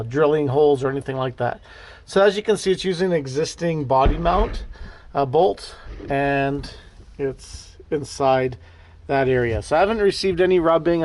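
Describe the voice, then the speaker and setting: A man with a voice pitched a little higher than average presents a casual gear review vlog. He talks in a chatty, rambling way, addressing his viewers directly like a group of pals. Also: a little mellow, slight background noise. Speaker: host of a car gear video series.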